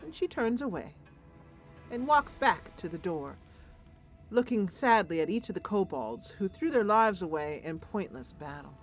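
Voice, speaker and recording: A woman narrating a roleplayed game as its host, in an expressive, deliberate storytelling style. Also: medium pitch, faint music behind. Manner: deliberate, theatrical.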